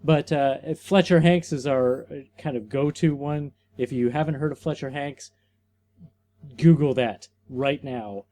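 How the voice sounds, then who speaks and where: A man's voice: mid-range voice, mellow. A man co-hosting a comic podcast over a video call, explaining things loosely with jokes and filler words. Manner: loose, joking, halting with fillers. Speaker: podcast co-host. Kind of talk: casual chat.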